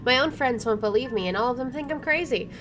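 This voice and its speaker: A woman's voice: on the high side, lively intonation, quick pace. A woman doing a let's play, reading game narration aloud and adding informal, reactive commentary. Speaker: game streamer, let's player. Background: game music.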